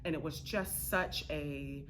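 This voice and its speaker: A woman chatting casually as an interview guest, telling things in an emphatic, expressive way. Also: low voice, takes it slowly, a little hiss or hum. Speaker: interview guest, actor, director and writer.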